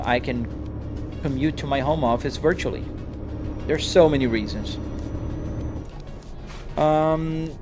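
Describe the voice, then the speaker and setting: A young man from Brazil with a Brazilian accent mixed with American, chatting casually and answering viewer comments while he plays a driving game. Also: on the high side. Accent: Brazilian Portuguese mixed with American. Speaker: live streamer. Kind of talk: casual live-stream chat while gaming.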